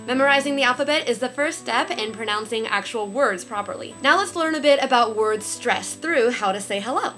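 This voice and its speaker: Young woman teaching, music underneath. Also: on the high side. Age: young adult. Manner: clear, careful demonstration of sounds. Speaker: language teacher. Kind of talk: beginner language lesson. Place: small bedroom, indoors.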